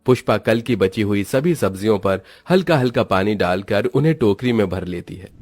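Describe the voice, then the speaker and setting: Low-voiced male story narrator, dry sound. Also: expressive, moderate pace, darkish tone, no echo. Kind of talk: scripted cartoon story narration.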